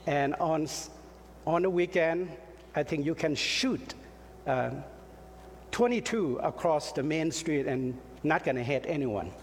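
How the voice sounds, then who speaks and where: A middle-aged man from Laos, preaching a sermon in a halting way, with many fillers and light jokes at his own expense. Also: a little high. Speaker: pastor. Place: church sanctuary. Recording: reverberant hall, faint ambient noise.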